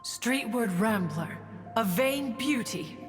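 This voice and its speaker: A woman's voice: a little high, animated, takes it slowly. A woman voicing an ancient, proud, austere immortal in a video game, speaking in a formal, pompous manner. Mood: indignant, solemn. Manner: formal, pompous, calls herself "one". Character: ancient, proud, haughty female immortal adeptus, austere, learned. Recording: long hall reverb over music.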